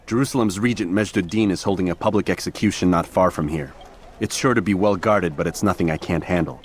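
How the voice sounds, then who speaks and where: An actor playing a proud, impatient assassin in a video game, terse and coolly confident. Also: male voice, low-pitched, fast talker, a little hiss or hum, lightly acted. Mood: curt, confident. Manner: terse, flat confidence, curt. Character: proud, cool, impatient young male assassin. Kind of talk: dramatic video game dialogue.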